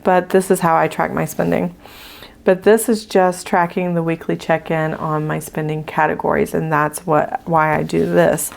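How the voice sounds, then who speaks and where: A woman with a low, gravelly voice that is hoarse. She chats casually through her budget sheets as a channel host and fills her pauses with ums while she writes. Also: little pitch movement. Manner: chatty with frequent ums, talking while writing.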